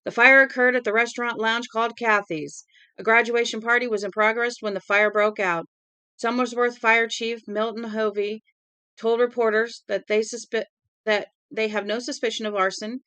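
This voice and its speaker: A woman's voice: fairly high pitch, steady tone, normal speed, bright, forceful tone, clear, resonant tone, no room sound. A woman reading aloud as a male radio newscaster, delivering a disaster bulletin in a formal, urgent manner.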